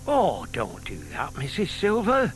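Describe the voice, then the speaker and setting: An actor playing a shy, lovestruck older British gentleman in an audio drama, polite, old-fashioned and eager. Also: fairly high pitch, wildly swooping pitch, measured pace, slight background noise.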